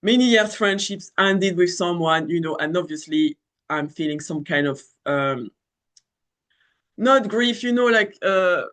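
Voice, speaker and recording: A man in his thirties speaks with a French accent, his voice sitting a little high. He talks loosely and casually, circling back on himself with fillers and restarts. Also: lively intonation, measured pace, a bit bright. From France. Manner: rambling, many fillers and restarts. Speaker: astrology channel host. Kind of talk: casual spoken astrology talk.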